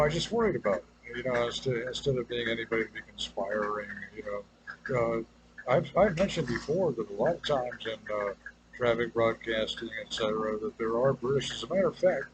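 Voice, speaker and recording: A man giving an unscripted, rambling personal monologue in a halting, hesitant way, trailing off and circling back to his point. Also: mid-range voice. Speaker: personal video maker. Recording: clearly noisy ambient background.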